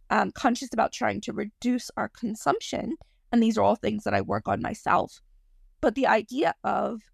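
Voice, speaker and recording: Woman, 30s, podcast host, croaky and gravelly, fairly high voice, dry close sound, rambling. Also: darkish tone, no room sound. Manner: rambling and self-deprecating, apologizes for digressions. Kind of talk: casual solo podcast monologue.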